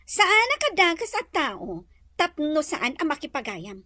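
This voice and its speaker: A woman acts out a rich young madam in an Ilocano audio drama, haughty and scolding. Her voice is very high and piercingly bright, swinging widely in pitch, with a Filipino accent and a close, dry sound. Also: very animated, a little slow, dry recording, heavily acted. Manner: curt, scolding, imperious. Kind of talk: Ilocano radio-style drama acting.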